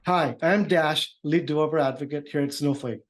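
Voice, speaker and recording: A man giving an informal, upbeat software demo walkthrough as a developer advocate. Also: fairly high pitch, fairly quick, a little hiss or hum. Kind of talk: tech demo walkthrough, semi-scripted.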